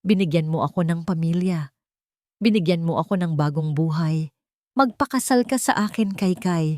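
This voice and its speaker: A tender young man, voiced by a woman narrator, asks for a hand in marriage in this storybook romance. His earnest words tremble with feeling. The voice sits a little low and sounds close and dry, with no room echo. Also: normal speed, darkish tone, lightly acted.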